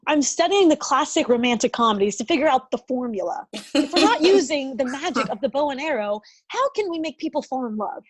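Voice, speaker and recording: Earnest bookish science lady, acted by a woman, high voice, defensive, comedy script read. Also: expressive, a little fast, crowd noise. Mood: earnest, explaining.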